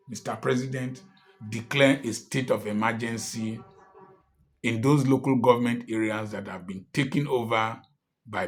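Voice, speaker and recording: Slow, defiant older Nigerian man. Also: on the low side, fairly bright tone. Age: 60s. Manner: emphatic and defiant, rising to angry outbursts. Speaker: commentator. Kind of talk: impassioned political address to camera.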